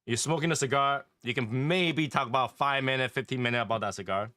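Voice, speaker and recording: Bright-voiced man with a Taiwanese accent. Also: medium pitch, a little fast, no echo. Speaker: host and leader of an online cigar community. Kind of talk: casual group chat.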